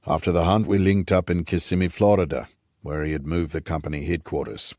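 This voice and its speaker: A man voicing an aging, gruff Rhodesian patriarch, a wry and nostalgic old scout, in an audiobook. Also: sits low, steady tone, fairly quick, darkish tone, no echo, studio quality. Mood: wry reminiscing. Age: older.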